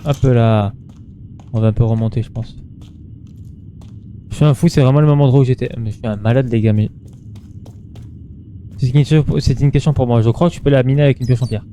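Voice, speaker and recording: A man's voice: medium pitch, brisk, pauses often, dark tone, slight background noise. A man doing casual gameplay commentary in an informal, slangy way, muttering to himself and chatting to his viewers. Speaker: gaming streamer.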